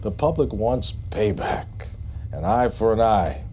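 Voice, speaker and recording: An actor playing a resolute, hawkish political leader in wartime, speaking in emphatic, deliberate phrases in a game cutscene. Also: male voice, on the low side, very animated. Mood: firm confidence. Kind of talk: live-action game cutscene acting. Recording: dull lo-fi game audio, faint noise.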